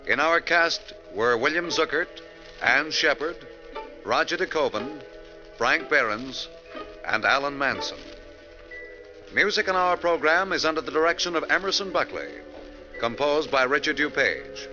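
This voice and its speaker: A man announcing on 1940s radio, reading scripted credits in a formal, measured manner. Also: on the high side, expressive, pushed and bright. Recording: muffled 1940s radio recording. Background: music.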